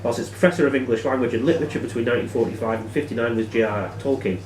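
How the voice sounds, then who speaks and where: A man's voice: mid-range voice, very fast, slight background noise. Echoey, distant male quizmaster. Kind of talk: reading out quiz questions to players. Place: live in a pub. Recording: echoey room, distant microphone.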